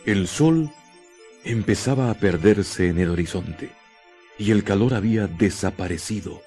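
A man narrating a scripted radio drama with deliberate, dramatic phrasing. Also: on the low side, dark tone, soft background music.

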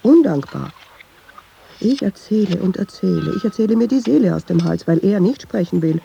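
Talkative woman storyteller, radio drama, dark mellow tone, animated intonation, wry, colloquial, touchy. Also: medium pitch, moderate pace, vocal fry, slight background noise. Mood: indignant. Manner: digressive, colloquial, sardonic. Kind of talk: radio play monologue, conversational storytelling.

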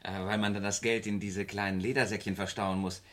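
A man acts a middle-aged businessman in a radio crime play. His voice is deep. He sounds self-satisfied and tight-fisted, breezy yet ready to defend himself. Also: flat delivery, fairly quick, fairly bright tone, natural voice. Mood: breezy, defensive.

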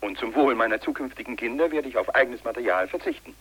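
An actor playing a compliant, matter-of-fact man giving a stiff radio interview in a 1980s radio play, in a husky voice. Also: medium pitch, animated, fast talker, fairly bright tone. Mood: dutiful.

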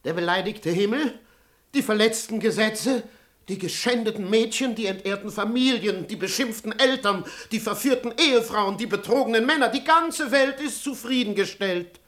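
An actor playing an anxious, moralizing manservant in a classical radio play, pleading and plaintive. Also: male voice, high voice, moderate pace, a bit bright. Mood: wailing, lamenting.

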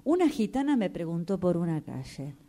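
An actress plays a gullible, superstitious Argentine woman in a radio play. She tells her troubles with dramatic emphasis, and her pitch swings in a lively way. She is quick to take offence. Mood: confiding. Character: superstitious, gullible, indignant woman seeking refuge with her fortune-teller.

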